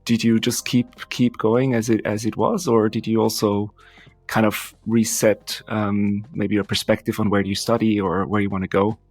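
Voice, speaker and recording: Gravelly, low-voiced man interviewing. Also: flat delivery, no echo, soft background music. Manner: halting, feeling his way through questions. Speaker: podcast host, interviewer. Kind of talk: conversational interviewing.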